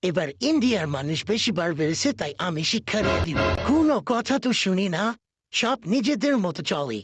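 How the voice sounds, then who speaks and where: A man's voice: high voice, very animated, brisk, music in the background. A man voicing a boastful, lovestruck male political leader in a comic cartoon parody, wooing and bragging in exaggerated style. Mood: boastful, menacing.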